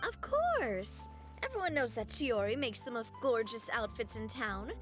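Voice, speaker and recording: A woman's voice: on the high side, very animated, measured pace. A woman playing a spirited, protective young female boss with an emphatic, theatrical delivery in an anime-style game. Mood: warm, teasing. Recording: clear music behind the voice. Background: music.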